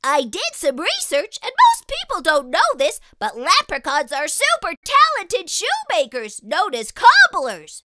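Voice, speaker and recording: A tiny, eager little creature with a very high, very bright voice. It talks slowly and carefully, swinging its pitch up and down as it asks question after question. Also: female voice, wildly swooping pitch, no echo, studio quality, heavily acted. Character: small curious childlike purple spot creature. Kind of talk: children's picture book read-aloud.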